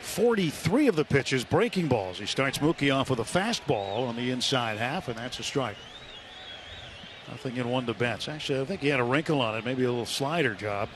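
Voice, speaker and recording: A man's voice: medium pitch, animated, a little fast. A man doing live play-by-play baseball commentary for radio. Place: stadium broadcast booth. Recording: clear stadium noise behind. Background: stadium ambience.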